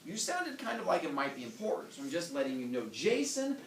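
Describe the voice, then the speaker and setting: A man reading aloud voices a sharp, suspicious psychiatrist wife calling her husband. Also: fairly high pitch, sing-song, fairly quick, pitched above the performer's own voice. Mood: businesslike. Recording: echoey room, distant mic, faint noise.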